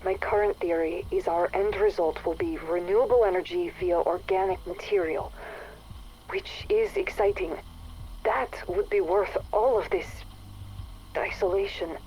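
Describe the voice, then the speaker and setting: A woman plays an anxious researcher on a secretive island, confiding her worries in a low voice that often drops into a whisper. It is a recorded audio log from a video game. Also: a little mellow, slight background noise, lightly acted, rough recording. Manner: uneasy, confiding, half-whispered.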